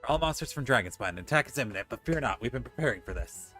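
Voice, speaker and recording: A man's voice: mid-range voice, expressive, rapid-fire, bright, forceful tone, soft background music. A man streaming a video game, casually commenting and reading dialogue aloud.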